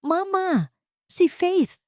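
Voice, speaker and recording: Woman as a high-pitched frightened little girl. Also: animated, drawn-out words, darkish tone, no echo, studio quality, full character voice. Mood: terrified. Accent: Filipino. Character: frightened little girl crying for her mama. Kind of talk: dramatized audio story.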